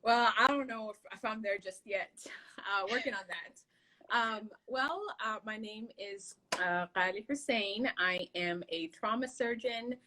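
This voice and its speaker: A woman's voice: medium pitch, unhurried, pushed and bright, a little hiss or hum, rough recording. A woman from Somalia, a trauma surgeon, telling her story in an earnest, reflective way as an interview guest. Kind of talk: casual conversational interview.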